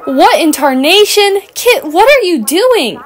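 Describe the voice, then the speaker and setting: A woman's voice: very high-pitched, sing-song, takes it slowly, faint music behind, pitched above the performer's own voice, full character voice. A woman playing an exasperated, bossy, no-nonsense schoolgirl in a doll cartoon, scolding bluntly.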